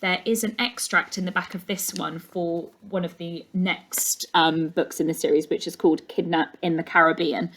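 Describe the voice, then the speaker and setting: A woman's voice: on the low side, steady tone, moderate pace, hardly any pauses, fairly bright tone, rough recording. Woman reading a storybook aloud. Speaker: teacher reading aloud to class. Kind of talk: animated storybook read-aloud with plain chat after.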